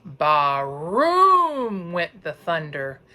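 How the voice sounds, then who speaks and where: A woman's voice: fairly low pitch, wildly swooping pitch, bright, forceful tone. A woman reading a children's story aloud expressively, like a teacher, with dramatic emphasis. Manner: dramatic, drawn-out emphasis on sound words and counting.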